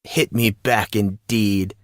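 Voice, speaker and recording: A man's voice: fairly low pitch, fairly even intonation, takes it slowly, no echo, studio quality. A man playing an informal, slangy teenage schoolboy in an audio drama. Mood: teasing. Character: teenage schoolboy, emotional and bantering with close friends.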